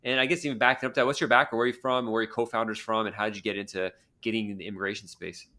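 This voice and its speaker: A man's voice: lowish voice, level tone, racing, bright, forceful tone. A man hosting an immigration law podcast, chatting casually and rambling as he stacks several questions into one. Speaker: podcast host, immigration lawyer. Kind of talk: casual interview chat.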